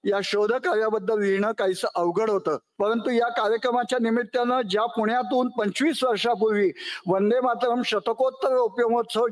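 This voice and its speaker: A man's voice: high voice, steady tone, brisk. A man giving a formal, ceremonious speech at a commemorative event. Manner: earnest, ceremonious, full of honorifics.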